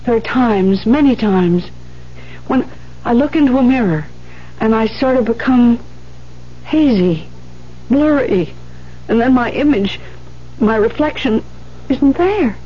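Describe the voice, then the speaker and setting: High-voiced, anxious, needy wife. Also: female voice, lively intonation, breathy edge, heavily acted. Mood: frightened. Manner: halting, dramatic. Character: anxious, needy wife fearing she is vanishing. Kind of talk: 1970s radio drama acting. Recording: dull 1970s radio recording, faint hum.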